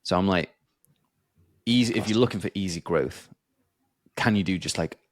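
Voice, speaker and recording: Podcast host, male, very deep, loose rambling delivery full of fillers, dry mic. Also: normal speed, no room sound. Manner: rambling, filler-heavy, many restarts. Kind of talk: casual chat.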